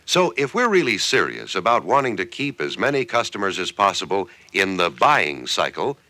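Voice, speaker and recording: A man's voice: sits low, very animated, normal speed, bright, forceful tone, no room sound. An American man reading scripted narration for a 1960s industrial training film in a conversational, persuasive way with emphatic phrasing.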